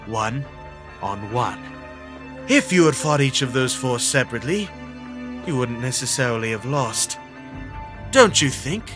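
A man's voice: medium pitch, animated, a little slow, pauses often, a bit bright, soft background music. A man voicing an arrogant, commanding teenage schoolboy in a comic dub, curt and cold. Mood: stern, probing. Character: arrogant, commanding high-ranking teenage schoolboy obsessed with hierarchy. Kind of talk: dramatic comic dub acting.

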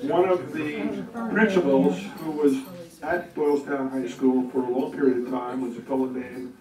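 A man's voice: mid-range voice, fairly even intonation, normal speed. An older man moderating a panel at a community event, speaking in a genial, chatty way into a handheld mic. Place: community meeting room, audience seated. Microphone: handheld mic, heard from afar. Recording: roomy, distant mic with crowd.

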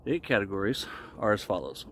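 A low-pitched man talks casually to a camera as he explains things in a vlog. His phrasing is deliberate and halting. He is recorded outdoors in a wooded clearing, with some ambient noise around him. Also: very animated. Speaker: vlogger reviewing shaving products. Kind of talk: casual explanatory talk to camera while shaving.

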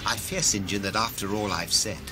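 An actor playing a gloomy, defeated cartel chief in an audio drama, weary and fatalistic. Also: male voice, fairly low pitch, fairly even intonation, moderate pace, very noisy, natural voice. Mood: bleak, resigned.

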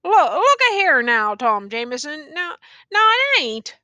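A battered male soldier rambling in a slurred, folksy drawl, as a woman reader puts him on. His voice rides noticeably higher than the narration and swoops up and down as he frets, with a bright, forward edge. The words come slowly and drag. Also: big pitch swings, no room sound, exaggerated voice. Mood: pleading, plaintive. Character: rambling wounded old-fashioned male soldier.

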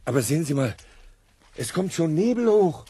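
A man's voice: a little high, very animated, moderate pace, dark tone, husky, dry recording. Nervous, stammering, bewildered man. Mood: uneasy. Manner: hesitant, stammering, repeating words. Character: nervous, bewildered companion, unwilling visitor. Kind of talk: German radio drama acting.

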